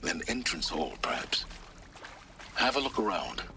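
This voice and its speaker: An actor playing an aloof, condescending older scholar in a video game, speaking in a measured, dry, imperious way. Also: male voice, low voice, big pitch swings, heavy background noise, exaggerated voice. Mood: dry, impatient. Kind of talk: dramatic video game dialogue.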